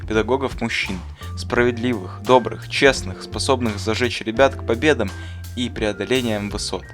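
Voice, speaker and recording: A man plays a thoughtful, kindly schoolteacher for an animated film. He explains in an earnest, didactic manner with bookish phrasing. Music plays clearly behind the voice. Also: medium pitch, normal speed, lightly acted. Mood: warm, reflective. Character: thoughtful, kindly male schoolteacher who talks like a gardener. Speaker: teacher answering interview questions. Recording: voice over clear background music.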